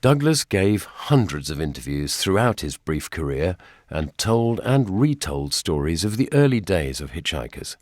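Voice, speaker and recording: Deep, expressive male narrator. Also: a little slow, dry recording, studio quality. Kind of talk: scripted documentary narration and reading. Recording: music behind the voice.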